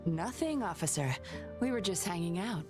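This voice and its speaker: A woman voicing a composed, cunning young undercover patrol officer in a video game, smooth-talking and measured. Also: lowish voice, animated, close to an everyday voice. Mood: smooth, reassuring. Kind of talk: video game story dialogue acting. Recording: game music behind the voice.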